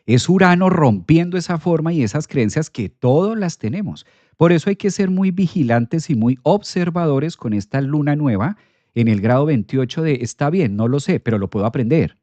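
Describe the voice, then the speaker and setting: A man's voice: on the high side, expressive, moderate pace, warm tone, no room sound. A man giving an earnest astrology talk, explaining step by step and acting out people's doubts. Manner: earnest, explanatory, quotes self-doubt in a livelier voice.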